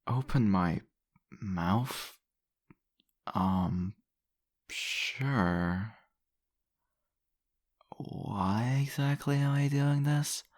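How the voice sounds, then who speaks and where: A man playing a seductive, possessive vampire in an intimate ASMR roleplay, teasing and murmuring deliberately in a husky, gravelly voice. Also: on the low side, dry recording, clean studio sound.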